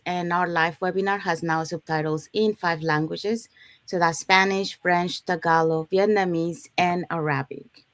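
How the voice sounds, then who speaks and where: A woman's voice: fairly low pitch, dry recording. A woman from Panama hosting a live online talk, presenting to her audience. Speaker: host of an online business talk show.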